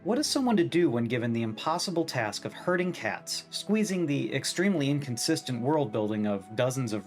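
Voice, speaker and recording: A man narrates a scripted video essay in a wry, opinionated, casually profane way. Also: mid-range voice.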